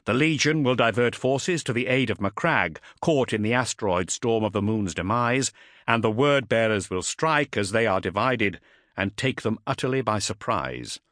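A man voicing a stern, resolute warrior captain in an audiobook, speaking formally with measured, emphatic oratory. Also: fairly low pitch, lively intonation, fairly bright tone, no room sound, clean studio sound, pitched above the performer's own voice. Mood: grave, resolute.

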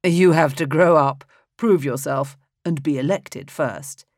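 Woman voicing a calm, dryly amused emperor. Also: sits low, takes it slowly, no room sound, clean studio sound. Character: middle-aged emperor, dry and patient father.

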